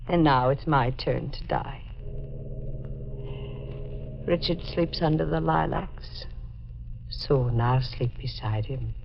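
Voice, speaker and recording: A bewildered, guilt-haunted woman in a vintage radio drama. She speaks very slowly and fatalistically. The sound is dull with faint hiss. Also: rumbling low, creaky, full character voice. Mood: resigned, doomed. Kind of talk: 1940s radio drama acting.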